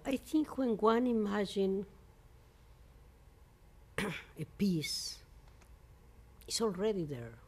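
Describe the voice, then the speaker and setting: A woman from Mexico speaking English with a Mexican Spanish accent as a non-native speaker, giving an interview as a composer in halting English full of fillers and restarts. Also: mid-range voice, animated, a little mellow, slightly rough. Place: venue in New York.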